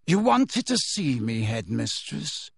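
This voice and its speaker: A man voicing a cold, indifferent male professor who speaks slowly, smoothly and sardonically, in a dry close recording. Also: medium pitch, sing-song, no echo, exaggerated voice. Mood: cold sardonic. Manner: slow, smooth, cool, sardonic.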